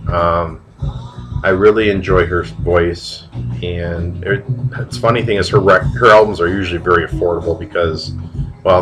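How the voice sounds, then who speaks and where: Deep-voiced nasal man with a cold. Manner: rambling, halting, many fillers and restarts. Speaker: vinyl record collector showing finds. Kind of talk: casual video vlog.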